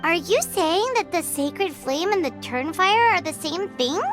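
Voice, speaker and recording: A woman voicing a tiny, bubbly, boastful fairy companion girl in a video game, with a squeaky childlike voice and perky, exclamatory delivery. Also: expressive, unhurried, full character voice. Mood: curious. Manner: perky, exclamatory, speaks of self in third person. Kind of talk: video game character voice acting. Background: loud music.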